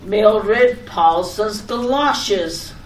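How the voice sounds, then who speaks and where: A woman's voice: medium pitch, very slow. Woman acting a fussy radio-skit wife, echoey room. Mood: insistent. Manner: fussy, repeating herself. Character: fussing, nagging, affectionate wife seeing husband off. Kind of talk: old-time radio comedy skit acting. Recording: echoey room, distant mic, background noise.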